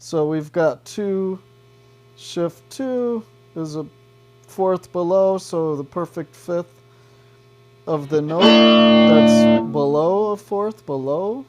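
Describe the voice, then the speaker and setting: A man's voice: high-pitched, takes it slowly, low-quality recording. A man explaining a guitar effects pedal step by step in an informal demo, often checking in with the listener. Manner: informal, checks in with "right" and "okay".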